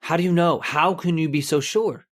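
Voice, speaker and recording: An actor playing a weary, fretful convict forger in a radio horror drama, plaintive and uneasy. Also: male voice, fairly high pitch, moderate pace, lightly acted. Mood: uneasy, needling. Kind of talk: old-time radio horror acting.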